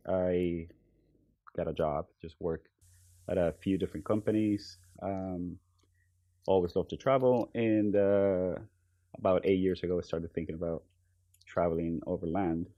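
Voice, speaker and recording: A man from Venezuela, a podcast guest, talking in a relaxed, hesitant way with many pauses and fillers. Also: deep voice, dry recording. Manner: hesitant, many ums and uhs, soft trailing phrases. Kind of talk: relaxed conversational interview.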